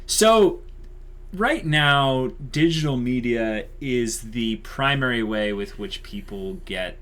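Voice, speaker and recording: A man's voice: medium pitch, animated, slow pace, a bit bright. A man co-hosting an online channel, talking casually and off the cuff in an enthusiastic, emphatic, informal way. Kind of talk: casual unscripted channel update.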